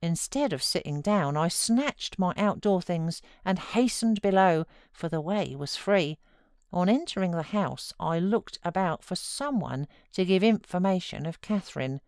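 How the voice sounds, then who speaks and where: A woman's voice: lowish voice, lively intonation, unhurried, a little mellow, no echo, studio quality. A British woman reading a classic novel aloud as scripted dramatic narration. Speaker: audiobook narrator.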